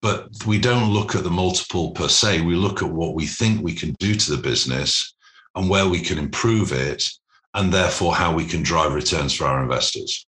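Very deep-voiced man in a roomy space. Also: normal speed, bright, forceful tone. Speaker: private equity executive being interviewed. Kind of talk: business interview, explanatory. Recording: roomy, echoing sound.

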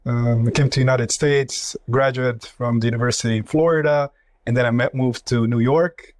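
A man's voice: mid-range voice, fairly even intonation, moderate pace, a little mellow. A man from Croatia with a Croatian accent, speaking as a company chief and guest in a conversational interview. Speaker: company CEO, podcast guest.

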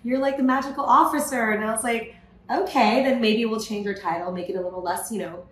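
A woman in her thirties, a guest in a podcast interview, who talks in an animated way, laughs often and acts out her own quotes. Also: on the high side, brisk. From United States. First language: Thai. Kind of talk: casual interview talk. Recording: echoey room, faint noise.

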